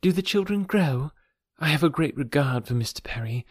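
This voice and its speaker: A man's voice: on the high side, expressive, moderate pace, slightly rough, no echo, studio quality. A man voicing an affectionate young mother who speaks eagerly and politely, in an audiobook. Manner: eager, polite, softly raised. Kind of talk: audiobook character reading.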